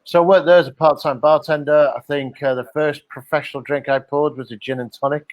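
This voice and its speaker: A man's voice: a little high, fairly even intonation, brisk, no room sound, lo-fi sound. A middle-aged man from Liverpool with a Liverpool accent, guest on a casual interview podcast, chatty and jokey, talking with plenty of "uh" and "um". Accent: Liverpool English. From England (Liverpool). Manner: chatty, jokey storyteller with frequent "uh" and "um". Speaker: guest, hospitality company director. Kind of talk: casual interview over video call.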